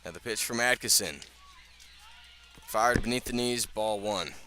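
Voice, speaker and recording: A man doing live radio baseball play-by-play, speaking in measured short phrases with pauses between them. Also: lowish voice, sharp tone. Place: outdoors at a ballpark.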